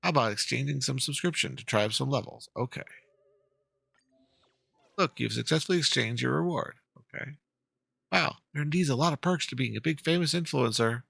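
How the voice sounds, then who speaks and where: A man's voice: mid-range voice, fairly quick, gravelly, fairly bright tone, slightly rough, dry recording. A man livestreaming a game, chatting casually and reading text aloud with mumbled asides. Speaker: game streamer. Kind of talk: casual livestream chat and dramatic read-aloud of game dialogue.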